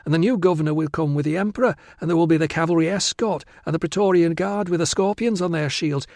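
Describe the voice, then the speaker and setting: Guy doing a silly excitable teen girl for an audiobook, pitched way up, whiny and pushy, dry sound. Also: fast talker, dry recording, close to an everyday voice. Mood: excited. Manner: gushing, whiny, insistent. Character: silly, pleading, excitable teenage British girl. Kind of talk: audiobook character voice.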